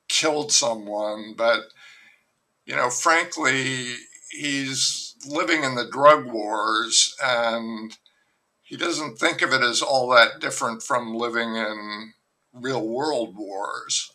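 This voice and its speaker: An older man, a novelist, talking through his book in a relaxed, thoughtful interview, pausing often and restarting sentences. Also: mid-range voice, poor audio. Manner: unhurried, thoughtful, with frequent fillers and restarts. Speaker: novelist guest. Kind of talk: reflective conversational interview.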